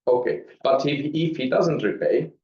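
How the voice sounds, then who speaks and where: A man's voice: medium pitch, expressive, normal speed, darkish tone. A man, a company executive, explaining business metrics conversationally on an earnings call, with dull, echoey sound. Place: conference call.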